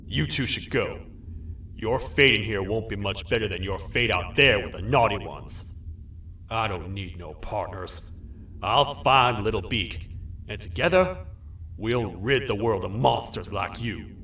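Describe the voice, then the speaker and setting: An actor playing a stern, suspicious monster sheriff who feels betrayed, in a video game. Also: male voice, fairly low pitch, expressive, unhurried, heavily acted. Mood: angry, accusing. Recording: roomy, distant, under loud music.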